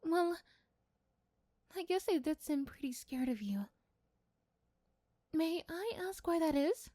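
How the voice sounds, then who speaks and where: Woman voicing a high-pitched shy young class president. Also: expressive, takes it slowly, warm tone, no echo, exaggerated voice. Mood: grateful, shy. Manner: hesitant, stammering, polite.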